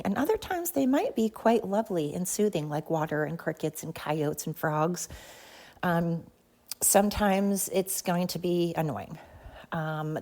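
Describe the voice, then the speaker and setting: Female podcast host, slightly low voice, chatty and self-deprecating, informal solo monologue. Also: darkish tone. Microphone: talking into a phone while pacing.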